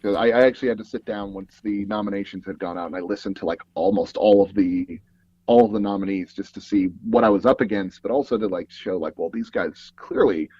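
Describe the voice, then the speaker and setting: A man's voice: lowish voice, brisk, mellow, slightly rough, no echo, lo-fi sound. A man being interviewed, talking casually in a hesitant, rambling and self-deprecating way. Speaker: interview guest, audio drama producer.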